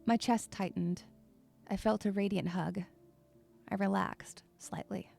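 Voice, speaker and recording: A woman's voice: medium pitch, fairly even intonation, a little slow, gravelly, a little mellow, faint music behind. A woman playing an anxious, withdrawn young woman who narrates her own story in a dramatic, introspective and sometimes hesitant way. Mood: fearful narration. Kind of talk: first-person dramatic narration with dialogue.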